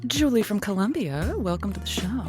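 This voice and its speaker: A woman playing a kindly, flustered advice show host who speaks haltingly, with wry tact, as in an improvised comic call-in show. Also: medium pitch, lively intonation, over music, natural voice. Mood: welcoming. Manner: halting, wry, tactful, stammering over delicate words. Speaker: radio host.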